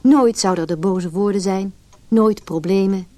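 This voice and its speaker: A woman's voice: mid-range voice, normal speed, dark tone, dry recording, natural voice. An actress playing an anxious, wounded, introspective married woman in a Dutch radio play. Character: anxious, wounded married woman recalling her lonely childhood.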